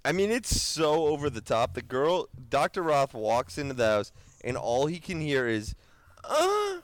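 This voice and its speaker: A man's voice: a little high, wildly swooping pitch, a little slow, no room sound. A man co-hosting a casual podcast chat from his car, sweating in the sun, laughing and doing squealing impressions as he talks. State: sweating in the heat. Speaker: podcast co-host.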